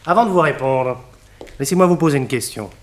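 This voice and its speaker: An actor playing a polite, precise and confident technical expert in a French radio mystery play, measured and slightly teasing, in a roomy echo. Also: male voice, mid-range voice, expressive, natural voice. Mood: knowing, precise.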